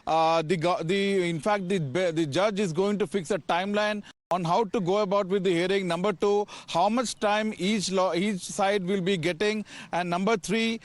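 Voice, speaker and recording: Male field reporter, Indian English, high voice, very dry sound, halting delivery with repeated words. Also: fairly even intonation, no echo. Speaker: news reporter. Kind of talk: live unscripted news report.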